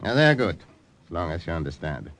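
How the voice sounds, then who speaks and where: An actor playing a stern, suspicious commandant with a formal, authoritative manner in an old radio drama. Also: male voice, low-pitched, very animated, brisk, a bit bright. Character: stern, suspicious male German military commandant.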